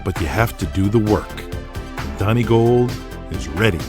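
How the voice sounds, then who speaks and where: A man's voice: on the low side, lively intonation, normal speed. A man reading scripted, dramatic present-tense narration as the storyteller of an audio drama. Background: music.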